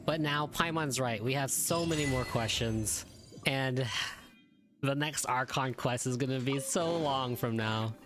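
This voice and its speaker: A woman's voice: very deep voice, unhurried. A woman giving casual reaction commentary as she streams a video game. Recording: game music behind the voice. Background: game music.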